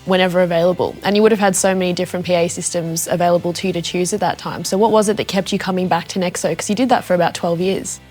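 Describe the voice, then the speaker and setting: A woman asks prepared interview questions at a quick clip. Her voice sits a little low, with music playing along. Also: fairly even intonation, darkish tone.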